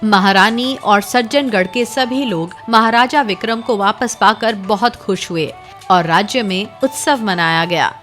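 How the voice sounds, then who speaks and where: A woman narrating a cartoon fairy tale in a steady, dramatic storytelling way. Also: medium pitch, a little slow, faint music behind.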